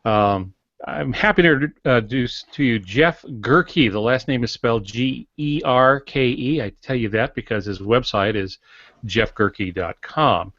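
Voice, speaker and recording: A man in his sixties co-hosting a podcast, introducing things informally in a halting, folksy, lightly joking way. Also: mid-range voice, fairly bright tone, no echo, highs cut off. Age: 60s. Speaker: podcast co-host.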